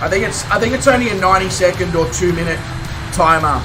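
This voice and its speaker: An Australian man streaming a game, chatting casually with viewers in a croaky voice, rambling and chuckling between remarks. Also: high-pitched, quick pace, recorded from a distance, music in the background, low-quality recording. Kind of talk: casual chat with live viewers.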